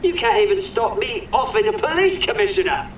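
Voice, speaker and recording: A high-pitched, gloating villain. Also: male voice, expressive, a little fast. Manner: mocking, theatrical. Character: gloating, taunting theatrical male villain. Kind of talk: cinematic video game acting. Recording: roomy, distant, noisy background.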